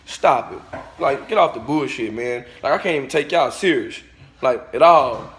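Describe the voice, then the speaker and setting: A man's voice: medium pitch, moderate pace, a bit bright, slight background noise. A man delivering a blunt, profane, preachy rant straight at his viewers in a vlog. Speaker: vlogger. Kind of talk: ranting monologue to camera.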